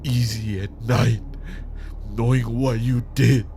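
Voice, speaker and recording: A man acting a grieving, unhinged father turned vengeful villain in a dramatic audio monologue, with menacing, anguished delivery and long pauses. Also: mid-range voice, fairly even intonation, mellow, a little hiss or hum. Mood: cold menace.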